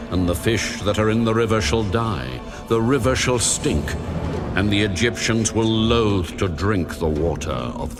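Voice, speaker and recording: An actor playing God as a solemn, commanding male deity in a dramatised audio Bible, speaking deliberately. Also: low voice. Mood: stern command. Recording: music under the voice. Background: background music.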